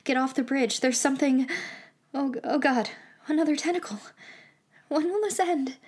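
A high-pitched, playful female radio traffic reporter, played by an actress in an audio drama. She is flippant and theatrically wry, teasing her way through a chatty broadcast. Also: normal speed, a little mellow, close to an everyday voice. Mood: mock alarm.

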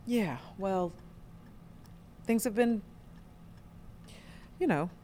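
A woman's voice: mid-range voice, lively intonation, drawn-out words, dark tone, slight background noise. A woman acting a guarded young woman in an audio drama, with a dry, curt, sarcastic delivery.

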